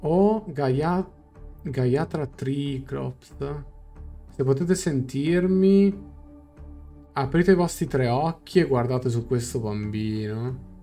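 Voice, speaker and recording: A young man streaming a game, translating dialogue aloud in a halting, casual reaction. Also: mid-range voice, warm tone, faint music behind. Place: small room indoors, on webcam.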